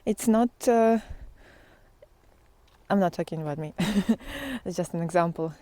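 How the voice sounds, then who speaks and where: A woman giving a casual, unscripted spiritual monologue in a reflective, halting way, often trailing into pauses. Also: fairly low pitch, warm tone, dry recording. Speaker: spiritual teacher vlogger.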